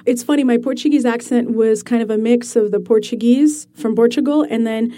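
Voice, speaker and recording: A woman author being interviewed, explaining her ideas in an animated way and laughing easily. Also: fairly high pitch, a little fast. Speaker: author guest. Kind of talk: radio interview.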